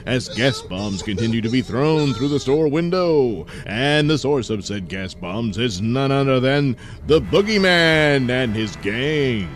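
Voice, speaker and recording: A man's voice: medium pitch, lively intonation, unhurried, few pauses. A man narrating a comedy audio play in a mock-dramatic, storytelling way. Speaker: narrator and performer.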